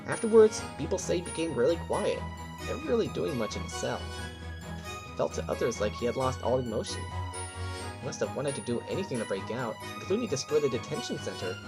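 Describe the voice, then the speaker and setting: Man playing an animated ghost witness. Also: medium pitch, a little fast, poor audio. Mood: earnest, dramatic. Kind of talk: audio drama acting. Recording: clear music behind the voice.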